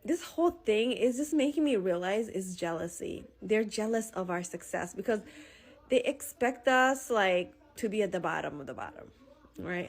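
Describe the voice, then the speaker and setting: A woman delivers a casual, opinionated monologue in the style of a social media video. Her voice is of average pitch. She is conversational and emphatic, often repeating a point.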